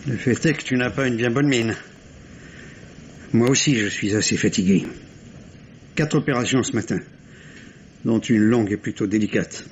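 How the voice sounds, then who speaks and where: A middle-aged French surgeon husband played by a male actor, cool, formal and impatient, in 1950s radio drama style. His mid-pitched voice speaks with clipped exasperation. The old recording is dull, with some background noise. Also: moderate pace, pauses often, natural voice. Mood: dry impatience.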